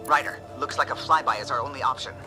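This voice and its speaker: An actor playing an alert, businesslike starship pilot in a sci-fi game, with brisk, matter-of-fact delivery. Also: male voice, medium pitch, steady tone, music in the background, lightly acted. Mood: tense, businesslike. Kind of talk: scripted video game acting.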